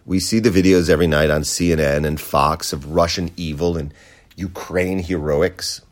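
A man's voice: sits low, steady tone, moderate pace. An American man hosting a podcast, delivering a sarcastic, profane opinion rant. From United States. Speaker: podcast host. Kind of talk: opinion monologue.

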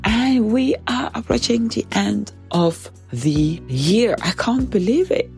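A woman hosting a reflective solo podcast, speaking slowly and thoughtfully, her voice stuffy from a cold. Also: on the high side, expressive, gravelly, music in the background. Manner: slow, measured, thoughtful delivery. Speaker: podcast host.

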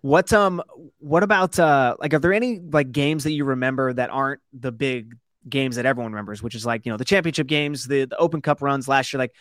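A man's voice: medium pitch, fairly quick, fairly bright tone, dry recording, studio quality. A man hosting a podcast, chatting casually and rambling a little as he interviews his guest. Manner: conversational, rambling. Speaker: podcast host.

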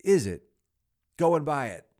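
A man voicing an overjoyed, excitable old man in a storybook reading, exclaiming with gleeful, effusive delight. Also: medium pitch, normal speed, pitched above the performer's own voice, close to an everyday voice. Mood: eager urgency.

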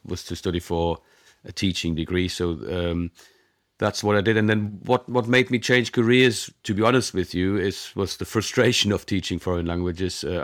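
A German-native man in his forties speaks in a deep, low voice, recorded dry and close. He talks as an interview guest, pausing on ums and restarting his sentences. His delivery is relaxed and conversational. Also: no room sound. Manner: hesitant, many ums and restarts.

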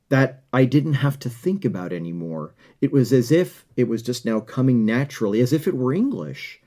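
A man's voice: medium pitch, dark tone. A middle-aged man hosting a podcast, giving an earnest motivational talk and often repeating words while he finds his phrasing. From United States. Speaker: podcast host. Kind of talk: motivational monologue.

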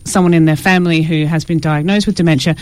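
A woman's voice: low voice, fairly even intonation, fast, a little mellow, no room sound. A woman radio host chatting casually and live in a studio, with a self-deprecating, informal manner. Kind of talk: casual live interview chat. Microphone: studio microphone.

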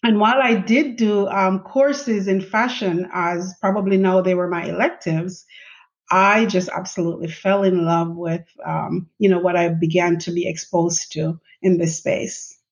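A middle-aged woman, a podcast guest who tells her career story in a chatty, animated way, with many fillers and laughs. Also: fairly low pitch, unhurried. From Jamaica. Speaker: guest, chief information officer. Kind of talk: conversational interview storytelling. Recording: slightly dull lo-fi audio.

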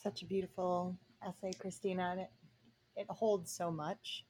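Female co-host, unhurried and reflective, flat delivery, slight hiss behind. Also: on the low side, rough recording. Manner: slow, thoughtful, with many pauses and fillers. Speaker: podcast co-host. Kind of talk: casual conversation.

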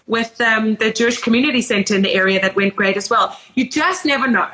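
A woman's voice: on the high side, fast talker, a bit bright, a little hiss or hum, poor audio. A woman with a South African accent, hosting a casual podcast chat and telling stories with enthusiasm. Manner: enthusiastic, chatty storytelling. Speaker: podcast host.

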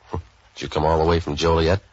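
An American actor playing a cynical, street-wise boat-for-hire man in a hard-boiled, deadpan 1940s radio noir style. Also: extremely low pitch, moderate pace. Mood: wry, wary. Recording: dull vintage radio recording, faint music.

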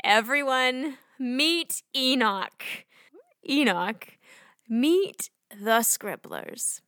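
A gentle, composed female guide speaks slowly with a creaky edge to her highish voice. She sounds patient and kindly, in a close, dry children's audio drama recording. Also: lively intonation, no echo, heavily acted. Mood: gently amused. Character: kindly, composed female guardian introducing her donkey friend.

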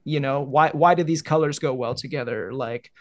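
A man's voice: mid-range voice, moderate pace, no echo. A young man chatting casually as a guest, rambling with many "like"s. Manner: rambling, heavy use of "like". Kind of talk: casual conversation.

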